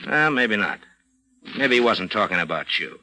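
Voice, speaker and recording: An actor playing a dry, needling homicide lieutenant, sardonic and slyly suspicious, in an old-time radio crime drama. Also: male voice, fairly low pitch, very animated, normal speed, bright, forceful tone, full character voice. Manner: sardonic, probing. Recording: noisy old radio recording.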